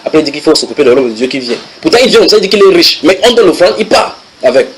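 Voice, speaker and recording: A man preaching to a church congregation, his voice somewhat high for a man. He reads English passages aloud, translates them on the spot, and teases his listeners as he goes. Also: racing, a little hiss or hum, low-quality recording. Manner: animated, switches into English readings and translates, banters with congregation. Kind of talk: sermon teaching.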